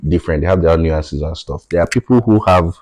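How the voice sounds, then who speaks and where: A Nigerian man whose first language is Yoruba, a podcast guest, talking in a measured, thoughtful way and often checking in with "right?". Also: low-pitched, a little mellow, no room sound. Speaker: podcast guest, tech founder. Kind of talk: reflective conversational interview.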